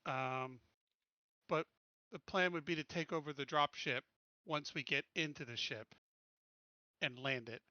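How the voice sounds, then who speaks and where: A man's voice: a little high, measured pace, long pauses, sharp tone, no echo, highs cut off. A man talking casually as a tabletop game player, thinking aloud while he plans his moves.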